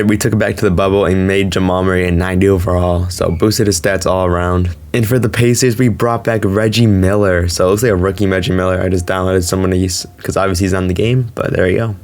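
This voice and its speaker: A man who is slightly sick, hosting a gaming video with casual, chatty commentary on a basketball game. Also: low voice. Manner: informal, chatty. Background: background music.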